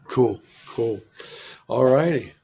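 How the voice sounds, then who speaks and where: A man hosting a podcast interview, encouraging his guest with quick affirmations. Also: mid-range voice, lively intonation, vocal fry, warm tone, slight background noise. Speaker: podcast host.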